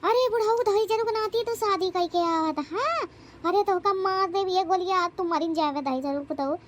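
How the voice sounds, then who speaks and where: A woman voicing a sharp-tongued, angry old village wife in a comedy cartoon, scolding and taunting with an Awadhi accent. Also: extremely high pitch, moderate pace, slight background noise. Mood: furious, threatening. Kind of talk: rustic comedy cartoon acting.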